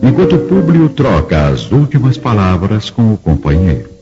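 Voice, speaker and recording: A man's voice: fairly low pitch, very animated, normal speed. A man with a Brazilian accent narrating a scripted radio drama in a solemn, literary manner. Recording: muffled audio, music underneath.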